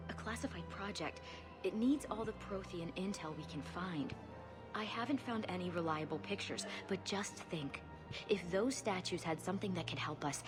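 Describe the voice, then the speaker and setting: A woman's voice: fairly low pitch, natural voice. A woman playing an earnest, eager research official in a video game, insistent and professional. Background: music.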